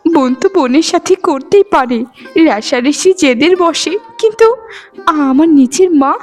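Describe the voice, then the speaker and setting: A woman's voice: sits high, animated, normal speed, darkish tone, soft background music. A woman voicing a meek, rejected young village daughter in a melodramatic cartoon, speaking in a plaintive, pleading way. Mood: despairing.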